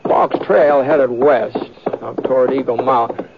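An actor playing an easygoing, modest western drifter with a hesitant, folksy drawl, in 1950s radio western style. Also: male voice, medium pitch, steady tone, measured pace, creaky. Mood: calm narrating. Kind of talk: 1950s radio western acting and first-person narration. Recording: muffled, noisy, crackly old radio recording.

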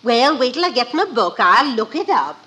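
Casting: dithering, querulous ticket-window clerk, old-time radio comedy, sharp voice with lively swings. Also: female voice, on the high side, moderate pace, breathy edge, heavily acted. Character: muddled, grumpy female train station information clerk. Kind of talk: 1950s radio comedy acting. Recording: noisy vintage radio recording.

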